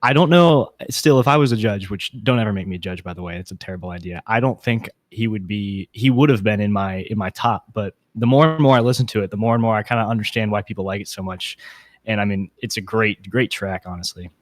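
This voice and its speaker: A man's voice: on the low side, quick pace, no room sound. A man co-hosting a podcast, casually talking through competitors in a contest. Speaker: podcast co-host.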